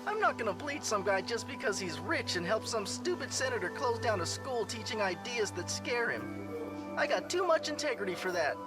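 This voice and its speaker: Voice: mid-range voice, very animated, moderate pace, over music, lightly acted. A performer playing an earnest, defiant fifteen-year-old boy superhero in a motion comic. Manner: earnest, plain-spoken.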